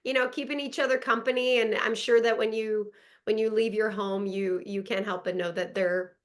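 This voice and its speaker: A woman's voice: mid-range voice, steady tone, normal speed. A woman from Montreal whose first language is French, chatting upbeat as an actress guest on a video call, laughing often. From Montreal, Canada. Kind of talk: casual interview chat. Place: home in Los Angeles.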